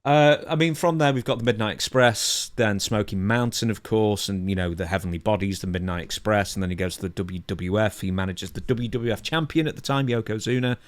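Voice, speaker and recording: A man co-hosting a podcast in casual discussion, measured and dryly understated as he runs through details. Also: fairly low pitch, no echo, clean studio sound. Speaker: podcast co-host.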